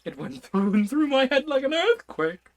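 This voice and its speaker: Man doing a fussy, excitable old king. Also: extremely high pitch, normal speed, voice pushed up. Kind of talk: storybook read-aloud.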